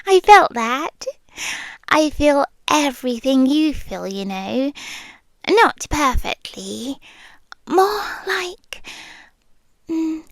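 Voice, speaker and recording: A British woman playing a possessive, teasing girlfriend spirit who lives in the listener's head, in soft, breathy, intimate ASMR roleplay that often drops to a whisper. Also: high voice, no room sound, exaggerated voice. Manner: soft, breathy, slow, deliberate pauses. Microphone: close microphone, dry.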